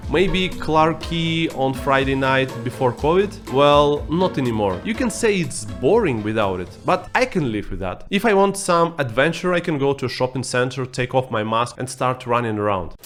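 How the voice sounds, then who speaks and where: Man, Russian accent, non-native English speaker, wry sarcastic vlogger, mid-pitched voice, quiet music underneath. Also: expressive, normal speed, few pauses. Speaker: YouTuber. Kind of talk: scripted vlog commentary.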